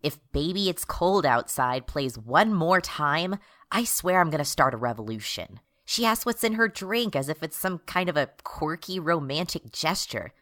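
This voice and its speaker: A woman's voice: low voice, expressive, moderate pace, fairly bright tone. A woman playing a jaded, angsty nihilist rebel in a satirical radio drama, world-weary and exasperated.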